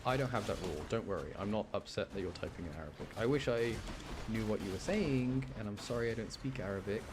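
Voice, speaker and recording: A man's voice: on the low side, fast, noisy background. A man live streaming a video game, chatting casually with viewers as he commentates on his play. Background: music.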